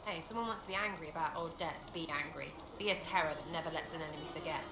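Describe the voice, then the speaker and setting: A brash, mocking elf archer. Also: female voice, sits low, background noise. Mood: sardonic. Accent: Fereldan (British-style). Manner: blunt, mocking, quick retorts. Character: brash young female elf archer, sardonic. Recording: roomy game audio with music.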